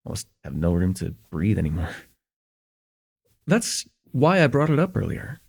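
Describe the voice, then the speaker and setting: A man playing a shy, smitten young student in an intimate ASMR roleplay, with an earnest, hesitant delivery and a husky, slightly gravelly voice. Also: low-pitched, wildly swooping pitch, moderate pace, full character voice. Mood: wistful, earnest. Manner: hesitant, earnest, stammering, chuckling softly between phrases.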